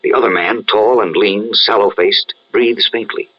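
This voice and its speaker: Husky man narrating a vintage radio serial. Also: on the low side, fairly even intonation, measured pace. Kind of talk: dramatic scripted narration, old-time radio serial. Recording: muffled old-time radio sound.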